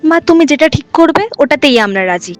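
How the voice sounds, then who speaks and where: Woman doing sweet-natured younger sister in a cartoon, high earnest voice. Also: lively intonation, normal speed, faint music behind. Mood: gentle, conceding. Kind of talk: cartoon voice acting.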